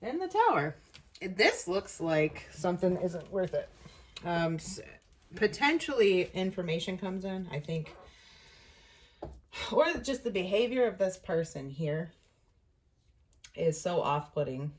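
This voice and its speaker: A woman with a low-pitched voice gives an off-the-cuff tarot reading. She talks in a casual, conversational way as the reader. She thinks aloud and often pauses between phrases. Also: sing-song.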